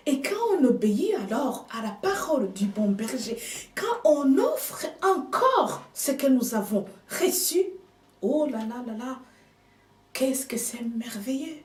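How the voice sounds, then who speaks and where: Female preacher, non-native speaker, measured emphatic sermon, distant echoey pickup. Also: fairly high pitch. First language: another language than French. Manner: slow, emphatic preaching with hushed asides. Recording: echoey room, speaker off mic.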